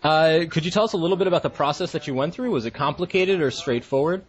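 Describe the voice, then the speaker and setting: A man's voice: fairly high pitch, quick pace, breathy edge, lo-fi sound. A man interviewing a guest, asking short prompting questions.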